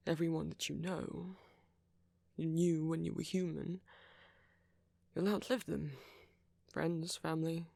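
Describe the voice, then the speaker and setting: A man playing a friendly, flirty ancient vampire in an intimate ASMR roleplay, breathy and often near a whisper, with gentle, teasing, genteel phrasing. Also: fairly high pitch, flat delivery, slow, pauses often, dry recording, full character voice. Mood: tender, wistful.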